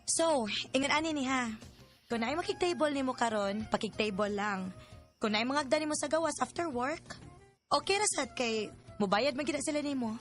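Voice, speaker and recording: An actress with a Filipino Cebuano accent playing a streetwise, teasing, chatty veteran bar worker in an audio drama. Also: female voice, high-pitched, lively intonation, normal speed. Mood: teasing, advising. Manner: chatty, teasing, interjection-heavy. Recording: light music under voice.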